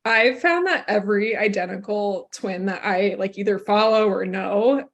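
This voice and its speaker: A woman's voice: mid-range voice, normal speed, highs cut off. A young woman hosts a podcast in an easygoing, conversational way, with many fillers. Her voice sits in a middle range for a woman. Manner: chatty, with fillers and laughs. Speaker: podcast host. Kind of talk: casual chat.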